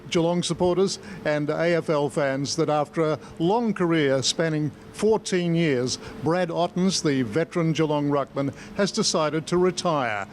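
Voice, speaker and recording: A man's voice: fairly high pitch, a little hiss or hum. An Australian man presenting sports news and putting questions as a television reporter.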